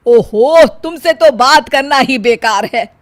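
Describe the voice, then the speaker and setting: A woman's voice: high voice, slow, no room sound. A woman voicing an older mother-in-law in a story, easily exasperated, exclaiming and nagging with emphasis.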